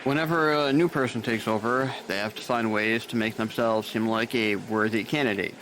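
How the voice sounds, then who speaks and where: A man's voice: fairly low pitch, steady tone, moderate pace, noisy background, lightly acted. An actor playing a dry, sarcastic, burned-out male nurse showing a new hire around, in an audio drama. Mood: sardonic.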